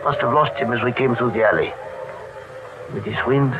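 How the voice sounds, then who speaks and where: An actor playing a weary, cautious older Indian resistance leader in a radio drama, formal and measured. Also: male voice, medium pitch, steady tone, lightly acted. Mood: frightened, calling out. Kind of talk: old-time radio drama acting. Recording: noisy, thin, muffled old radio recording.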